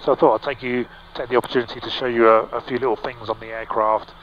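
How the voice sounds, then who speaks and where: A middle-aged male pilot talks through his instruments in a relaxed, explanatory way, pausing with ums and restarting sentences. His voice sits at an ordinary pitch and comes through a headset mic that sounds a little dull. A faint hum of engine noise stays underneath. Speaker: pilot and vlog host. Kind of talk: casual cockpit walkthrough. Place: small aircraft cockpit in flight. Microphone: headset boom mic.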